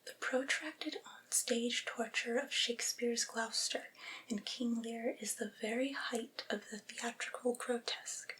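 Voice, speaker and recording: Slow whispering ASMR woman. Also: fairly high pitch, fairly even intonation, a bit bright, poor audio. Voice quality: breathy, unvoiced whisper. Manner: slow, deliberate, careful phrasing. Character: devoted servile female vampire's familiar soothing master. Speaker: ASMR performer reading aloud. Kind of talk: whispered ASMR reading of a literary essay.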